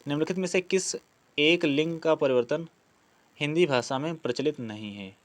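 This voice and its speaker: A man's voice: fairly high pitch, moderate pace, no echo. A man teaching a grammar lesson, explaining practice questions step by step, asking questions and answering them himself. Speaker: Hindi grammar teacher for exam preparation.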